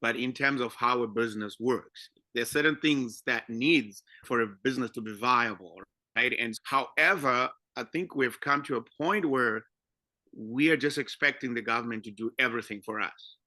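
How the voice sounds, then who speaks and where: A man's voice: medium pitch, normal speed, sharp tone, dry recording. A man with a Zimbabwean accent giving his opinions conversationally as a podcast guest.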